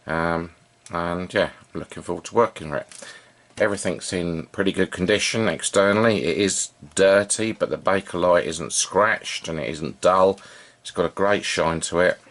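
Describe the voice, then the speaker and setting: A man giving an unscripted, informal hands-on demonstration, thinking aloud in a halting way as he works as a hobbyist repairer. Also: deep voice, fairly bright tone. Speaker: vintage radio repair hobbyist and video presenter.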